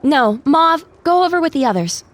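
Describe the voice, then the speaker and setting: A woman's voice: high voice, sing-song, moderate pace. A woman playing a stubborn young female pony in an audio drama, speaking in a terse, insistent manner. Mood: firm, demanding.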